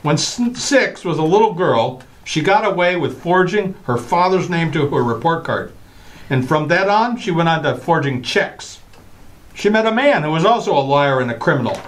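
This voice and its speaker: A woman's voice: low voice, expressive, normal speed. A woman acting as an exasperated, scolding father, stern and flustered, in an old-time radio comedy. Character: Daddy, exasperated scolding father of a little girl.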